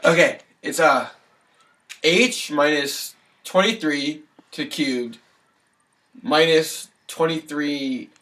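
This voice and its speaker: Young male student, slightly high voice, very slow, hesitant answer. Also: bright, forceful tone. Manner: hesitant, halting. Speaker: student answering in class skit.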